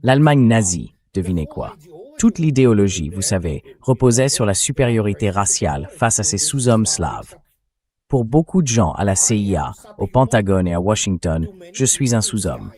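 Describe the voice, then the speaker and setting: A man's voice: on the low side, big pitch swings, moderate pace, a little mellow. A man's synthetic voice dubbing a blunt, emphatic political commentator in an interview. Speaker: interview guest, translated voice-over.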